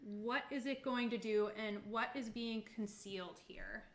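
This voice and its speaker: A woman running a tabletop game as game master, describing scenes informally and haltingly, piece by piece. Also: fairly high pitch, crowd noise. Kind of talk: improvised tabletop game narration. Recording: roomy, echoing sound.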